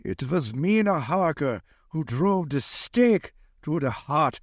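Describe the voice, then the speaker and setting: An urgent older professor who hunts vampires played by a man in a period radio play. His high voice swings widely and dramatically as he gives emphatic orders. The sound is dry, with faint music behind. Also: animated, slow, no room sound, exaggerated voice. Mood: grave. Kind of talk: 1930s-style radio drama acting.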